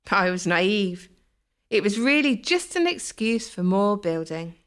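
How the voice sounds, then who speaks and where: A woman acting in a radio play as an expressive, candid townswoman. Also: mid-range voice, unhurried, smooth. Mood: rueful. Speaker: community theatre actor.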